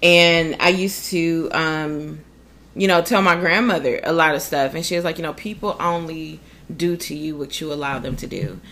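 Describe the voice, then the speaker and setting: A woman co-hosting a podcast, talking in a loud, blunt and profane way while giving relationship advice. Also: sits low, moderate pace. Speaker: podcast co-host. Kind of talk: casual relationship advice chat.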